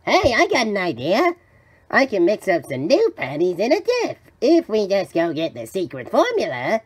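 A man's voice: sits high, wildly swooping pitch, moderate pace, husky, exaggerated voice. A man voicing a tiny scheming cartoon villain who is theatrical, gloating and falsely friendly. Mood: fake apologetic. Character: tiny scheming male villain posing as friendly magic spatula. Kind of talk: cartoon fan voice acting.

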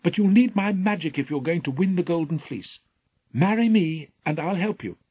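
A man's voice: on the high side, expressive, moderate pace, breathy edge, no room sound, lo-fi sound, pitched above the performer's own voice. A man voicing a confident young princess sorceress in a raised, lighter voice. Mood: persuasive.

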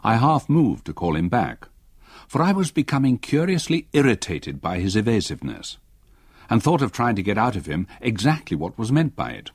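An actor playing an older English solicitor narrating his memories in a radio drama, in a measured, expressive literary style. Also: on the low side, breathy edge, dry recording, clean studio sound, heavily acted. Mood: wry, reflective. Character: older solicitor recalling his haunted past.

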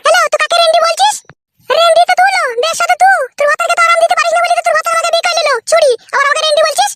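A woman voicing a defiant, sharp-tongued young second wife in a comedy cartoon, taunting brazenly. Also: very high-pitched, little pitch movement, rapid-fire, very noisy. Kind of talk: comedy cartoon dub, quarrelling.

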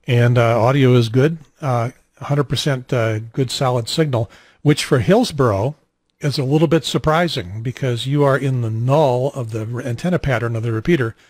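Older male net host, mid-pitched, conversational technical explainer, dry close recording. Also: normal speed, a little mellow, dry recording. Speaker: amateur radio net host. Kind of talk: conversational technical explanation over ham radio. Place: small home radio room, indoors. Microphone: handheld radio mic held at mouth, boom mic nearby.

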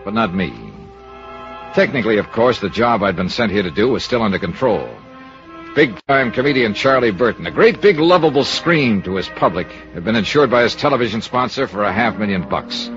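A muffled old radio drama recording carries a tough American investigator. He is cynical and clipped, with lively, swinging intonation and a sardonic edge. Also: on the low side, a little fast, faint music behind. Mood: dry narrating. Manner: clipped, sardonic, hard-boiled. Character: tough, cynical male freelance insurance investigator. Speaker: lead actor and narrator. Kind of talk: 1950s radio detective acting.

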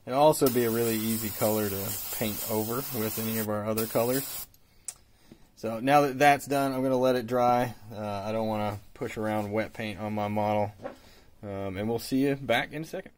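A man in his thirties, a bit raspy from a cold, calmly talking through a miniature painting tutorial with plenty of fillers. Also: lowish voice, normal speed, a little hiss or hum. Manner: relaxed, hesitant with fillers, explains while working. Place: hobby shop workbench indoors.

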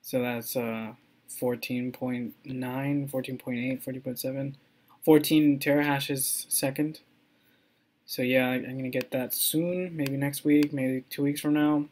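Excitable rambling young man. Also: medium pitch, measured pace. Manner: rambling, excitable, many ums and restarts. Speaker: crypto mining vlogger. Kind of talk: casual screen-recording update.

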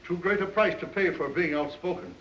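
A man playing a sober, cautious colonial gentleman in 1930s film acting style. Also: high-pitched, fairly quick, slight background noise. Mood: cautioning. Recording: echoey, distant, dull 1930s film soundtrack.

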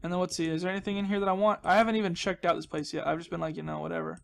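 A man's voice: high-pitched, level tone, rapid-fire, vocal fry, background noise. A man hosting a gaming channel with casual, rambling commentary, playful and goofy, often repeating his words and phrases.

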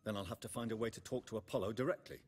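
An actor playing a resolute, well-spoken android in a video game, formal and insistent. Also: male voice, fairly low pitch, steady tone, very fast, a little hiss or hum, lightly acted. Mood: urgent, determined.

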